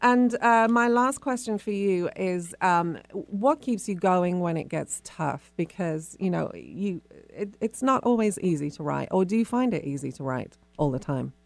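A woman's voice: fairly low pitch, lively intonation, measured pace, creaky, few pauses, dry recording. A woman hosting a radio show, speaking to guests and listeners as a presenter. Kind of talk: radio interview and sign-off.

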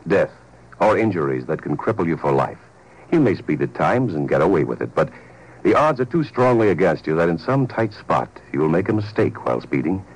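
A man announcing a scripted 1950s radio public service message in a grave, emphatic, deliberate way. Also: low voice, sing-song, slightly rough, poor audio. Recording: old 1950s radio recording.

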